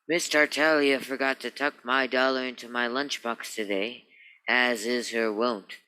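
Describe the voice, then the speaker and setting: An actor playing an earnest police sergeant sidekick, pompously formal and long-winded, in 1950s radio acting style. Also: male voice, medium pitch, steady tone, measured pace, pushed and bright, clean tone, low-quality recording.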